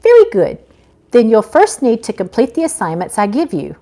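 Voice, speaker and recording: Woman acting older female angel mentor, prim, snippy, impatient, high swooping pitch, storybook reading. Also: wildly swooping pitch, moderate pace, warm tone. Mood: brisk, firm. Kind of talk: live audiobook-style reading.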